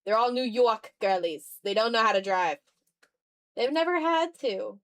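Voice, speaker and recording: Woman, slightly high pitch, casual drawing livestream, fragmented thinking aloud, self-deprecating. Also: expressive, normal speed. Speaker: art livestreamer.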